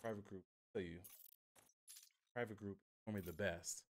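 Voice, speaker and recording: A man's voice: on the low side, fairly even intonation, normal speed, a little hiss or hum. A man chatting informally and in a rambling way to viewers as he streams a game. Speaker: live streamer. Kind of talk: casual gaming livestream chat.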